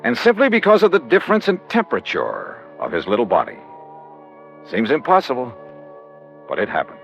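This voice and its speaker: A man's voice: medium pitch, huge pitch swings, brisk, vocal fry, faint music behind. An actor playing a tough, wry insurance investigator, narrating his case in clipped, dramatic old-time radio style. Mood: tense narration. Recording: dull vintage radio recording.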